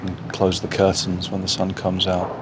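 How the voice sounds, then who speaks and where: Very deep-voiced man, measured. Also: monotone, very noisy. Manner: measured, frequent "um" pauses. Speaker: office worker. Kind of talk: unscripted interview answer.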